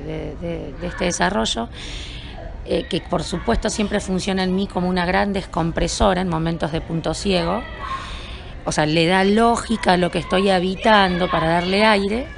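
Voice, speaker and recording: A woman from Argentina answering interview questions at an event, speaking in a measured, self-observing and wordy way. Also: fairly low pitch, gravelly, a little hiss or hum. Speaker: event attendee being interviewed.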